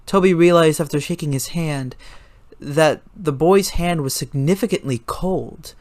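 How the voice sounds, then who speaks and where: A man's voice: on the high side, unhurried, a little mellow, no room sound. A man reading scripted story narration as a dramatic reading.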